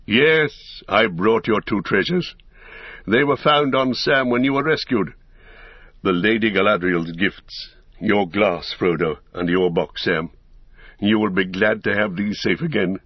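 A man voicing a wise, kindly old wizard in a storybook, speaking in a measured, formal, gently ceremonious way. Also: on the low side, slightly rough, no echo, muffled recording.

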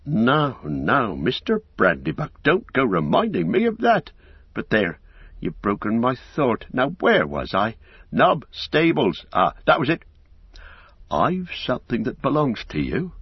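A man's voice: mid-range voice, wildly swooping pitch, unhurried, breathy edge, no room sound, muffled recording, pitched above the performer's own voice, full character voice. A man voicing a good-hearted, easily flustered innkeeper in a storybook, rambling along in folksy, rustic phrases. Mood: flustered, chatty. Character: broad-faced, garrulous, good-hearted, flustered male innkeeper. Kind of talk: audiobook character acting.

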